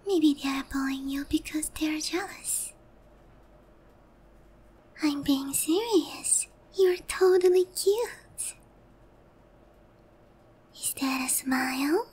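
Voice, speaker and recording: A woman playing a lonely, sweet and softly possessive young witch girl in an ASMR roleplay, breathy and gentle. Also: sits high. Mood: gentle concern. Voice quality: soft, breathy, whispery. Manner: slow, gentle, coaxing.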